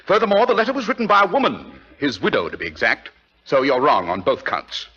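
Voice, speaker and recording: A supercilious detective in a forties radio drama. His voice is bright and forceful with a rough edge, and his delivery swings widely as he teases and condescends. The recording is dull and lo-fi. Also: fairly high pitch, big pitch swings, a little fast, heavily acted. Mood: superior. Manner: sardonic, fussy, condescending. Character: brilliant, teasing, supercilious English detective. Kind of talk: 1940s radio drama acting. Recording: muffled, harsh 1940s radio recording.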